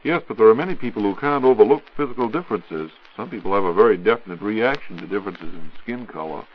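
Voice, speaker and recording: A man's voice: on the low side, lively intonation, quick pace, husky. An American man co-hosting a scripted 1940s radio dialogue, putting questions in an inquisitive, conversational way. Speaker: co-host putting questions to the expert. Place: radio studio. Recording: muffled 1940s radio recording.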